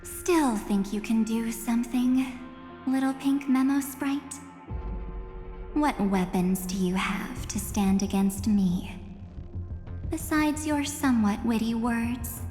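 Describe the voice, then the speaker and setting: A woman playing a coolly taunting, sinister antagonist in a game dub, deliberate and teasing, with phrases trailing into breathy whispers. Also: on the high side, mellow. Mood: mocking. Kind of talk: English game dub voice acting. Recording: echoey hall reverb, music behind.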